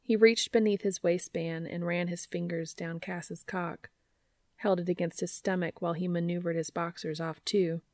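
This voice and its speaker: A woman's voice: lowish voice, fairly even intonation, normal speed, darkish tone, no echo. A woman reading scripted fiction aloud as a story narrator.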